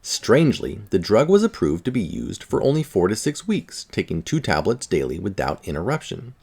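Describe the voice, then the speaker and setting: A man with a low voice reads a scientific article aloud. His intonation moves around a lot, and his delivery is informal, like someone reading for listeners and commenting along the way. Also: big pitch swings, normal speed, a little mellow, husky. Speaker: article reader.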